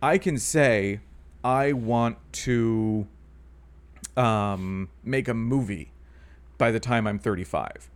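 A man's voice: medium pitch, slow pace, pauses often, smooth. A man in his thirties chatting casually as a podcast co-host, rambling and hedging with fillers and restarts. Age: 30s. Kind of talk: casual chat.

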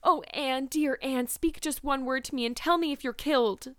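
A woman voicing an excitable young schoolgirl in a storybook, pleading in a fearful, melodramatic way. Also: medium pitch, fairly quick, dry recording, clean studio sound, close to an everyday voice. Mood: frantic. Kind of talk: storybook character voice.

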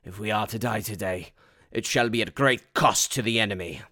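Man playing a forceful, grim warrior lord. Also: lowish voice, normal speed, no echo, studio quality. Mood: grim resolve. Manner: solemn archaic phrasing. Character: bold, grim male warrior lord of high fantasy.

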